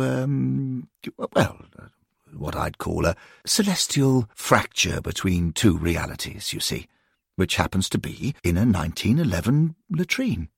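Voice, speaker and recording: A man voicing a genteel older gentleman who frets and fusses in a husky, creaky voice. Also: mid-range voice, no echo, clean studio sound, pitched above the performer's own voice, exaggerated voice. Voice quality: husky, creaky, prim and fretful.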